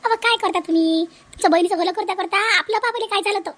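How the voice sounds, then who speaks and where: Woman playing an aggrieved, high-pitched young daughter-in-law. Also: quick pace, fairly bright tone. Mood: worried, reproachful. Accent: Vidarbha Marathi. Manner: complaining, repetitive. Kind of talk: comedy cartoon dialogue.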